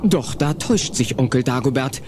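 A man narrating a children's audio play in a suspenseful, expressive storytelling style. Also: mid-range voice, moderate pace, a little hiss or hum, natural voice. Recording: music under the voice. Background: music.

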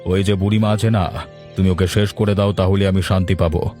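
A man's voice: deep voice, steady tone, brisk, dark tone, soft background music, close to an everyday voice. A man voicing a power-hungry, commanding village boss in a cartoon tale. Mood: calculating. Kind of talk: Bengali fairy-tale cartoon voice acting.

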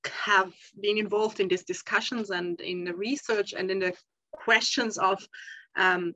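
Bright-voiced Austrian woman on a video call. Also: mid-range voice, steady tone, measured pace, dry recording. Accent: Austrian German. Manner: thoughtful, many ums and uhs. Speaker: conference panelist. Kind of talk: academic talk over video call. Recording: dull lo-fi video call audio.

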